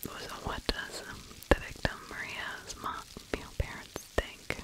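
A middle-aged woman narrates in a soft, breathy whisper with no voiced tone. She sits right up on the microphone in ASMR fashion. Her delivery is conversational and opinionated, like someone sharing her own take on a story. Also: unhurried. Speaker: true crime ASMR host, narrator. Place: small indoor room. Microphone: close microphone with furry windscreen.

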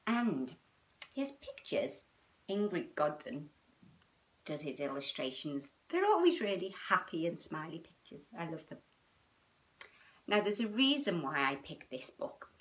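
A British woman in her fifties hosting a children's storytime, talking in an animated, sing-song way with frequent tag questions. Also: low-pitched, a little slow, pauses often. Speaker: children's storytime and yoga host. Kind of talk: chatty, child-directed livestream talk. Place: small room at home, indoors.

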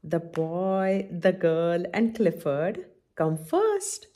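A middle-aged woman hosting a children's story time, reading a picture book aloud in an instructive, expressive way and asking the young listeners questions. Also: lowish voice, drawn-out words. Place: indoor room at home, by a window.

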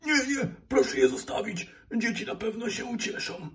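Man playing a high-voiced cartoon daddy pig. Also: sing-song, moderate pace, a bit bright, far from the mic. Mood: gentle, cheerful. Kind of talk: children's toy puppet story.

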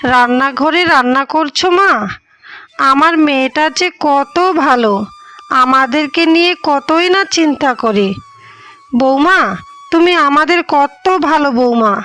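A woman's voice: high voice, measured pace, fairly bright tone. A woman voicing a doting old mother-in-law. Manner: tender, fussing, blessing. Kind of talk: dubbed cartoon voice acting.

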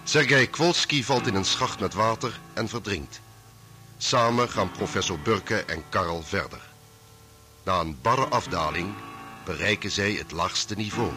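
A man's voice: fairly low pitch, unhurried. A man narrating a radio serial in a scripted recap style. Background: music under the voice.

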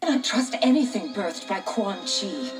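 A woman's voice: medium pitch, big pitch swings, normal speed, close to an everyday voice. A woman voicing a determined, distrustful young warrior daughter in a video game, earnest and challenging. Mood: earnest, wary. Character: determined, distrustful warrior daughter seeking her parents' souls. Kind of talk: video game character dialogue. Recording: distant game audio, loud music.

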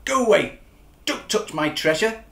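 A man's voice: high-pitched, expressive, unhurried, gravelly, fairly bright tone, echoey room, voice pushed up, full character voice. A man voicing a grumpy, possessive lobster in a storybook, growling and grumbling snappishly. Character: grumpy, possessive lobster guarding his treasure.

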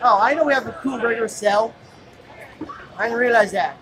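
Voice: mid-range voice, brisk. A vlogger filming a cosplay gathering, chatting casually and joking with the group inside a convention hall. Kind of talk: casual chat. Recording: camera mic amid a noisy crowd.

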